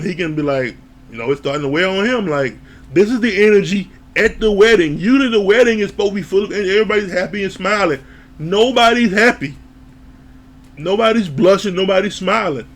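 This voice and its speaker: Animated man recapping a reality show. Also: high-pitched. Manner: animated, laughs, acts out quoted lines, swears. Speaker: reality TV reviewer. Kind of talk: casual recap commentary.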